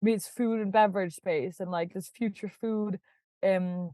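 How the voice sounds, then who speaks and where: Woman guest from Denmark, mid-pitched, talkative and giggly; close, dry mic. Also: steady tone, a little slow, mellow, no room sound. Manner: chatty, laughs often, many "like" and "kind of" fillers. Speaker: guest, startup founder. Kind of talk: casual podcast interview.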